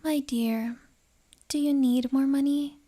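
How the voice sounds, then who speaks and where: An actress playing a wealthy, possessive noble lady in an ASMR roleplay, speaking softly and breathily with refined, measured delivery and menace under a gentle facade. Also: high voice, steady tone. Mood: doting. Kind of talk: ASMR romantic roleplay acting.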